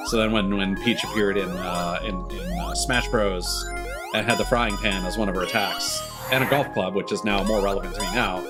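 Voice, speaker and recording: Deep-voiced man streaming games over music. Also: steady tone. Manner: rambling, halting mid-sentence, thinking aloud. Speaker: game streamer. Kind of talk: casual live game commentary, chatting to viewers. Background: video game music.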